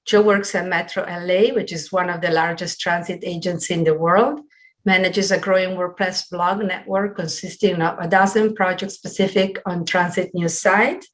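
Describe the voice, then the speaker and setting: Low-voiced middle-aged woman hosting a webinar. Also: fairly even intonation, moderate pace. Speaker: webinar host. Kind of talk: presenter introduction, partly read from notes. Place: small home room, indoors. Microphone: computer video call.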